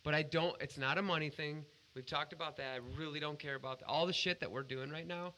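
Bright-voiced, earnest man chatting on a podcast. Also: on the high side, steady tone, quick pace, a little hiss or hum, low-quality recording. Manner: earnest, emphatic, heavy swearing. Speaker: podcast co-host. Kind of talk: casual podcast chat.

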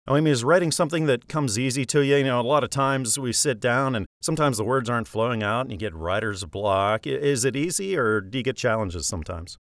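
A man's voice: medium pitch, fast talker, few pauses, a bit bright, dry recording, clean studio sound. A man hosting an author podcast, with a polished radio-style delivery. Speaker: podcast host. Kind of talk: interview host, scripted intro.

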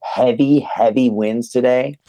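Young male co-host, laid-back, hoarse from the day, recorded dry and close. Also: medium pitch, fairly even intonation, unhurried, dark tone, dry recording, rough recording. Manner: laid-back, says man and dude a lot. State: losing his voice. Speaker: podcast co-host, PE teacher. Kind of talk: casual chat.

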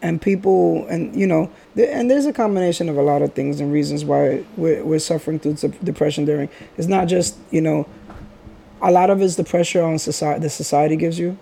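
A man's voice: on the high side, brisk, vocal fry, mellow, slight background noise. A middle-aged man from the Bronx hosting a podcast, talking in a reflective, rambling way and laughing often. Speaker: podcast host. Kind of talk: casual podcast chat.

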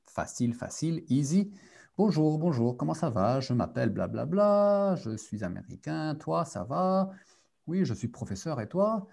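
A man's voice: fairly high pitch, big pitch swings, moderate pace, creaky, mellow. A man teaching French in a live online group lesson, repeating key phrases and switching between French and English. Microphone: video call.

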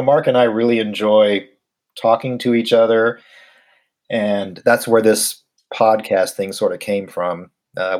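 Middle-aged man, slight Southern American accent. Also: lowish voice. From United States. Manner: easygoing, laughs often, repeats words when starting. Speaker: podcast co-host. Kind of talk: casual chat.

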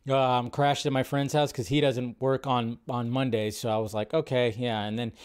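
A man's voice: mid-range voice, flat delivery, normal speed, smooth. A man hosting a livestream in a casual, rambling, informal way, chatting to viewers and repeating his phrases. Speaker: livestream host. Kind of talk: casual livestream chat, greeting viewers and reading comments.

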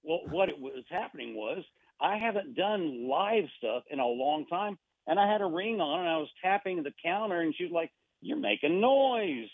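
A man's voice: on the high side, very animated, moderate pace, no room sound. Rambling man on a tinny phone line. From Argentina. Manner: rambling, with many restarts and fillers. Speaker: radio guest. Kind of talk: casual radio chat.

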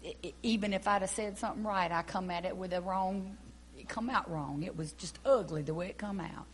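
A woman's voice: medium pitch, brisk, slight background noise, close to an everyday voice. A woman playing a frustrated church singing teacher who prays aloud with emotional pleading, in a folksy dialect. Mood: remorseful. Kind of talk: acted drama scene.

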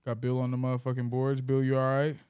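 A man's voice: medium pitch, quick pace, smooth, no room sound. A young man from Michigan with an American accent, chatting casually as a podcast host in profane, slangy language. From Michigan, United States. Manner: slangy, profane, animated storytelling.

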